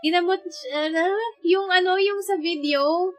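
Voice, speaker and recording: A high-pitched woman with a Filipino accent commentates live on a game. She is chatty and reactive, slipping between two languages, with game music behind her. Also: fairly even intonation, normal speed. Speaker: game streamer. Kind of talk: casual live gameplay commentary.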